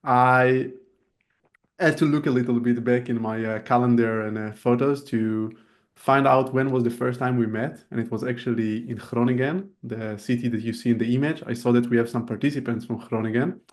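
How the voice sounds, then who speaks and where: A man in his thirties, an urban planner, giving a relaxed presentation over a video call with frequent fillers. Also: mid-range voice, moderate pace. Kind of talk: casual presentation.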